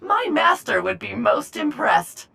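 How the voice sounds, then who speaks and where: A woman's voice: sits high, very animated, unhurried, vocal fry, sharp tone, full character voice. A woman voicing a chirpy, polite servant herald in a video game, with effusive, formal, flowery speech. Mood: eager, deferential. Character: chirpy, polite, excitable servant herald spreading gossip of a legend.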